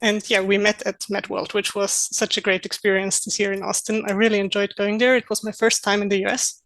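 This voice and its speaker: A young woman from Austria, German her first language, answers interview questions in English. She explains things in an earnest, methodical way, at a middle pitch. The sound is dry and close, with no room around her voice. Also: flat delivery, brisk, fairly bright tone, no echo. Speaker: podcast guest, localization project manager.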